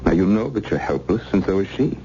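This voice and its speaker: An actor playing a menacing, coldly polite interrogator with a silky, taunting manner in an old radio thriller. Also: male voice, sits low, big pitch swings, fast talker, mellow. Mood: calm menace. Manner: silky, mock-courteous, taunting. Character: menacing male interrogator, coldly polite villain threatening torture. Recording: muffled, hissy old radio recording.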